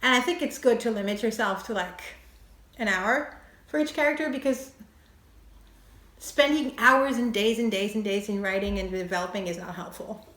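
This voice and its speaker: A woman's voice: medium pitch, moderate pace, fairly bright tone. A woman from France, casually explaining screenwriting while thinking aloud with many fillers and light laughs. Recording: echoey room.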